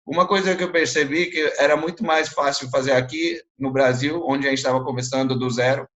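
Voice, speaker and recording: A man's voice: mid-range voice, fairly even intonation, fairly quick, fairly bright tone, lo-fi sound. A middle-aged man from India with an Indian accent, a non-native speaker, recounting his career in a reflective, explanatory way as an interview guest. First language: not a native Portuguese speaker. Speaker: guest, entrepreneur.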